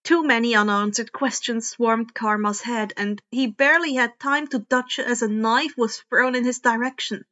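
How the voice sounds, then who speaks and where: Female story reader, higher-pitched voice, expressive narration, slightly dull lo-fi recording. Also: moderate pace, hardly any pauses. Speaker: podfic reader. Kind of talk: expressive fan fiction audiobook narration.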